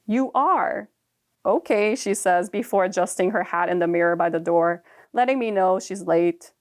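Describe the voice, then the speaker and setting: A young woman whose first language is Japanese, reading her own personal prose aloud in a calm, measured and reflective way. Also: on the low side.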